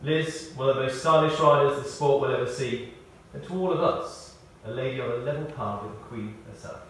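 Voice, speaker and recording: A man reading a prepared tribute as a eulogist, telling stories in a measured way with dry humour. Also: medium pitch. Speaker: eulogist at a memorial service. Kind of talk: prepared spoken tribute, read aloud. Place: speaking in a large church. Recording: echoey church, distant mic, faint noise.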